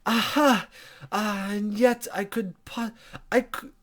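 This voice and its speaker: A man's voice: sits high, fairly even intonation, slow pace, fairly bright tone, voice pushed up. A man voicing a mysterious, courteous lady guide in a visual novel game, with soothing, formal, flowery speech. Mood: gentle, gracious.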